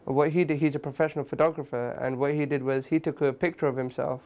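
Chatty young English guy, higher voice. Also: steady tone, quick pace, darkish tone. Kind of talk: casual documentary interview.

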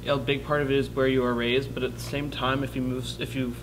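A teenage boy in an interview explaining his view quickly, in a plain indoor studio. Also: mid-range voice, flat delivery, low-quality recording. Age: teens. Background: music.

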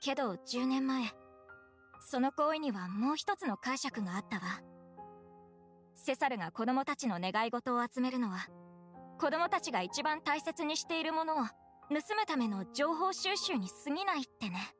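A composed young townswoman from an anime game. Her voice is high, and she explains things in a measured, matter-of-fact manner, with faint music behind her. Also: lively intonation, a bit bright. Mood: serious, insinuating.

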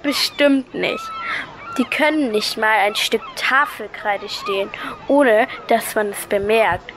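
A woman acts a polite but cunning schoolgirl in a children's radio play. She speaks slowly in a fairly high voice, hesitant and persuasive. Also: huge pitch swings, noisy background, heavily acted. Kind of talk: children's audio drama acting.

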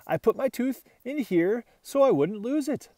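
A man voicing a young schoolgirl in a children's storybook, earnest and gentle, with simple, expressive childlike delivery. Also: very high voice, normal speed, no room sound, pitched above the performer's own voice. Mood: chatty, proud. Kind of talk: children's storybook read-aloud.

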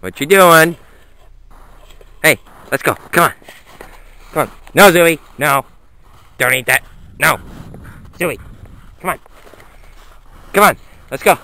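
A man's voice: fairly high pitch, slow, creaky, pauses often, sharp tone. A man talking to his dogs in a sing-song, coaxing way while filming a walk through snowy woods on a handheld camera. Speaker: vlogger walking his dogs.

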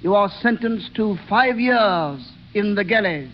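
An actor playing a cold, pompous judge who speaks sternly and formally in a 1930s radio drama. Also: male voice, high-pitched, expressive, measured pace. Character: cold, pompous male judge pronouncing sentence. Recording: dull, noisy 1930s radio recording.

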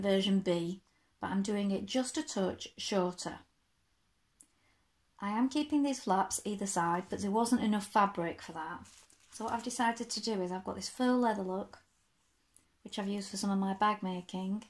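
Woman, 50s, crafting vlogger; slightly croaky, chatty explainer, roomy echo, fixed desk camera. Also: medium pitch. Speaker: sewing vlogger. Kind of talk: casual show-and-tell. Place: bright sewing room, indoors.

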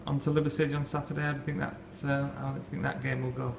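A man asking a football manager steady questions for the club after a match. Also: mid-range voice, very fast, creaky. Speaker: club interviewer. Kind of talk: post-match interview questions. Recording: echoey room, noisy.

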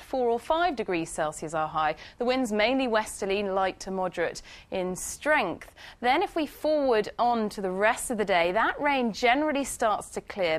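British woman presenting a weather forecast. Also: mid-range voice. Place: TV news studio.

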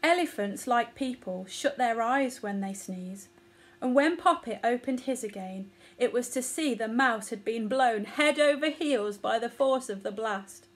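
A woman's voice: a little high, measured pace. A woman in her thirties narrating a children's story expressively. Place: small living room or bedroom, indoors.

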